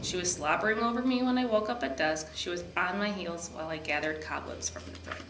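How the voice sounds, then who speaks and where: A woman reading a children's storybook aloud with expressive narration. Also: lowish voice, fairly quick. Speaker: park district program supervisor reading to children. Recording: echoey room with background noise.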